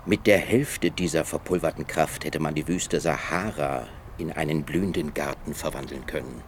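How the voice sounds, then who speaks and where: An actor playing a grave, defiant professor in a radio drama, with a solemn, literary delivery. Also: male voice, very deep voice, normal speed, a little hiss or hum. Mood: grave narration. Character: grave, defiant male professor chronicling a trial.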